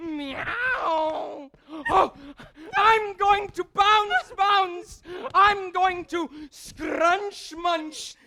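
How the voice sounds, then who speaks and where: A man's voice: very high-pitched, drawn-out words, gravelly, pushed and bright, heavily acted. A male actor playing a childish, bawdy young man with silly, playful theatrical delivery. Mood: manic, playful. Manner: giggly, silly, playful animal baby-talk. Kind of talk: theatrical stage comedy acting. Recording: noisy stage recording.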